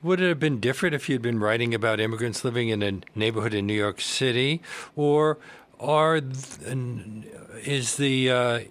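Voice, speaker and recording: A man's voice: mid-range voice, moderate pace, fairly bright tone. A man hosting an interview, asking probing questions with some hesitant fillers.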